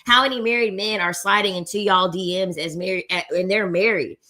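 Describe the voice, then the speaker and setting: A woman with a bright, edgy voice runs commentary on a clip she is reacting to. She is blunt and emphatic, drives her points home with repetition and the odd curse, and speaks at an ordinary pitch. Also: fairly quick. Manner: blunt and emphatic, with swearing and repeated phrases. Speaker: content creator reacting to a debate clip.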